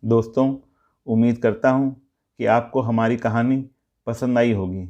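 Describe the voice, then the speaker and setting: Guy reading a moral tale, unhurried and deliberate, kinda muffled lo-fi recording. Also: medium pitch, fairly even intonation, mellow. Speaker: storyteller and channel narrator. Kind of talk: scripted moral story narration.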